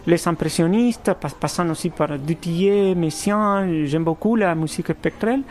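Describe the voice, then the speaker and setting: A man from Argentina with a fairly high voice speaks as a composer in an interview. He picks his words slowly, with false starts and repeated words. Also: a little mellow, a little hiss or hum. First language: Spanish. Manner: thoughtful, halting, many restarts. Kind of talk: radio interview.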